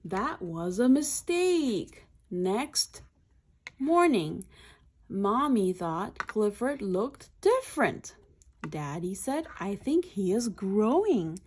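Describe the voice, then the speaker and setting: A woman's voice: mid-range voice, darkish tone, breathy edge. A woman reading a children's picture book aloud in short, simple phrases, adding explanatory asides.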